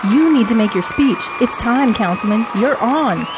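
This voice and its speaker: A woman's voice: a little high, lively intonation, normal speed, background noise, lightly acted. A woman playing a loyal, efficient political aide in an audio drama, speaking earnestly and deferentially. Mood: brisk, efficient.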